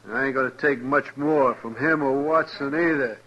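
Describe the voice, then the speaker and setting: An actor playing a touchy, possessive cowboy in an old-time radio western. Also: male voice, medium pitch, normal speed, a bit bright, lightly acted. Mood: defiant. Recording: dull old radio recording.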